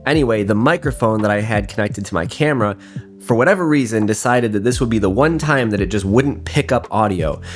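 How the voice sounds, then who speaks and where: A man's voice: fairly low pitch, a little fast. A man with a cold and a nasal voice, rambling through a casual, self-deprecating vlog voiceover. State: congested from a cold. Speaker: photography vlogger. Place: voiceover recorded indoors. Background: guitar music.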